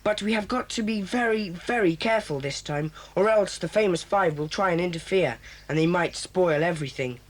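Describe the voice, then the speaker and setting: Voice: medium pitch, normal speed, few pauses, close to an everyday voice. A performer voicing a solemn, bossy boy who leads a children's club, speaking in an earnest, formal, commanding way in a 1980s children's audio drama.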